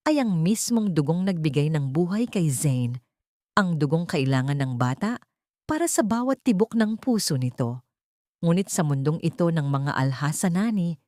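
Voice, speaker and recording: A Filipino woman reads a dramatic story as an audiobook narrator. Her voice sits a little low for a woman, and the sound is dry, with little room in it. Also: dark tone, dry recording.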